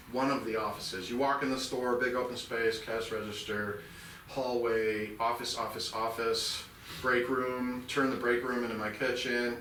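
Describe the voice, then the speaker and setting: A man's voice: lowish voice, sharp tone, a little hiss or hum. A middle-aged man telling his story as a podcast guest, earnest and rambling. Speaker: podcast guest, veteran nonprofit founder. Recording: roomy and distant from the mic.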